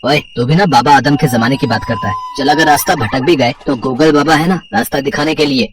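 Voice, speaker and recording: A man's voice: fairly high pitch, huge pitch swings, brisk, recorded from a distance, background noise. A man playing a carefree young college student, mocking and slangy, in a horror audio story. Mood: casual banter. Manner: joking, dismissive, slangy.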